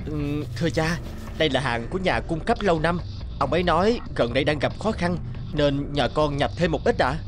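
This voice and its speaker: A man as an earnest, high-voiced young son. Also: animated, brisk, over music, lightly acted. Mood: apologetic. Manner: earnest, polite. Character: kind, timid, dutiful young merchant's son. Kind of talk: cartoon fairy-tale voice acting.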